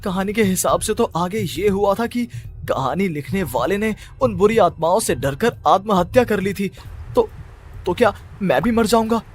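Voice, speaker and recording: Man acting as a high-voiced, distraught haunted writer. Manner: agitated, repeats himself in disbelief. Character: distraught, panicky male writer haunted by spirits. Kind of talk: dramatized Hindi horror cartoon story.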